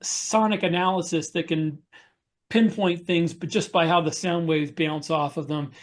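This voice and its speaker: A man co-hosting a podcast, explaining things in casual discussion with halting pauses mid-sentence. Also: a little high, steady tone. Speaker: podcast co-host. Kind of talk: casual discussion of writing military science fiction.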